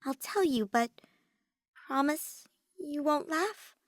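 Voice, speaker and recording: A woman's voice: sits high, animated, takes it slowly, no room sound, clean studio sound, pitched above the performer's own voice. A woman voicing a timid, easily frightened young woman mage who stammers nervously.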